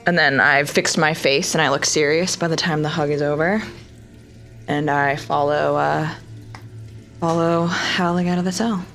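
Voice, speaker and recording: A woman's voice: deep voice, fairly even intonation, normal speed, a bit bright, faint music behind. A woman playing a tabletop roleplaying game, casually describing her character's actions and speaking in character.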